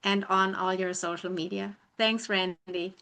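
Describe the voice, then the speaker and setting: Female interview host, mid-range pitch, bright forward tone, courteous structured delivery. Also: fairly even intonation, measured pace, gravelly. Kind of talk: polite, structured interview hosting.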